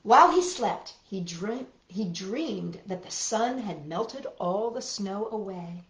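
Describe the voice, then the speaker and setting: A middle-aged woman reading picture books and chatting to young children as a storytime host, expressive and deliberate, with emphatic repetition, recorded indoors in a living room. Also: medium pitch. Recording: boxy roomy sound, dull lo-fi.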